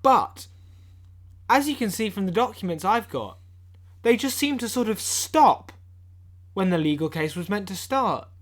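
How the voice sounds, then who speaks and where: A man playing an eager amateur investigator on a comedy podcast, sharing grim revelations in a conspiratorial, deadpan way. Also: high voice, a little slow, long pauses, exaggerated voice. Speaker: podcast co-host. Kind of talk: mock-serious comedy podcast banter.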